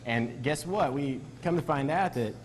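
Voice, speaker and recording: A man's voice: on the high side, moderate pace. A man speaking as a doctor being interviewed, explaining things in a conversational way.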